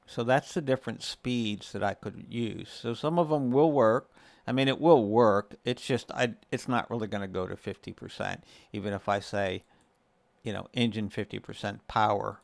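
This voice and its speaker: A man's voice: fairly low pitch, moderate pace, vocal fry, no echo. A man hosting a casual gaming tutorial, explaining things step by step in a conversational way. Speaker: video creator and host.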